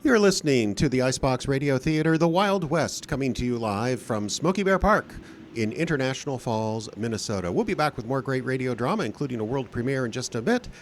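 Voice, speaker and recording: A man's voice: mid-range voice, expressive, a little fast, a little hiss or hum. A man announcing a live radio drama show in an old-time radio style. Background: light guitar music.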